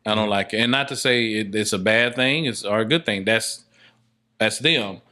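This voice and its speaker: A man's voice: on the low side, moderate pace, pushed and bright. A man speaking as a filmmaker guest in a casual interview, often repeating words as he reasons things out. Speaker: podcast guest, filmmaker and stage play director.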